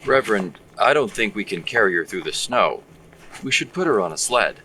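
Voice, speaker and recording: A man's voice: fairly low pitch, expressive, a little fast, sharp tone, far from the mic, slight background noise, poor audio. A man playing a blunt, bossy pallbearer with little patience in an audio drama. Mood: impatient, scornful. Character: blunt, impatient male pallbearer scornful of superstition.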